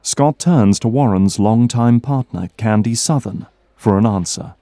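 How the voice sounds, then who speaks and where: Male narrator for audio drama; lowish, dark mellow tone, dry studio sound. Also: measured pace, no room sound.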